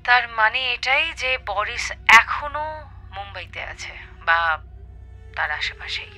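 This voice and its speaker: A woman playing a brisk, capable secret agent in an audio drama, businesslike and assertive as she reports to her superior. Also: fairly high pitch, lively intonation, sharp tone, full character voice. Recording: background music under the voice.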